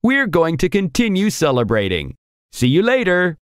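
Wildly swooping cheerful cartoon gadget. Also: male voice, high-pitched, huge pitch swings, moderate pace, clear, resonant tone, dry recording, clean studio sound. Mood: cheerful, announcing. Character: celebrating talking male gadget, cheerful navigator from a magic bag. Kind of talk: children's cartoon dialogue.

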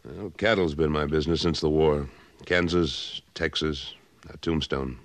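Low voiced cowboy hero in old radio western, terse, steady, tough. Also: male voice, a little slow. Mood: easygoing. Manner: firm, terse, unruffled. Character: tough, steady cattleman, Civil War veteran, fair-minded.

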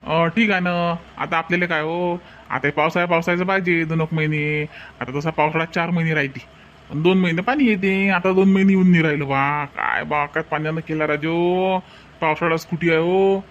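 High-voiced rambling village man, played by an actor, Varhadi accent. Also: fairly even intonation, brisk, fairly bright tone, muffled recording, close to an everyday voice. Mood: easygoing, approving. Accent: Varhadi Marathi from Vidarbha. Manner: folksy, repetitive, rambling. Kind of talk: comic cartoon dialogue.

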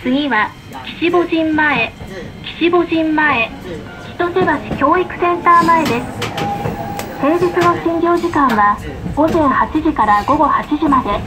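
High-pitched woman's tram announcement. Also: slow. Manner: polite, carefully enunciated. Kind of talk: scripted recorded onboard announcement. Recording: noisy field recording of tram speaker. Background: tram running noise.

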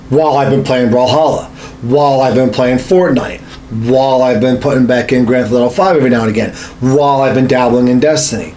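A man's voice: medium pitch, a little mellow, poor audio. A man in his thirties chatting casually as a podcast co-host, methodically laying out his points with some swearing. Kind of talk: casual explanatory chat. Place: small home studio room. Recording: slight background room noise.

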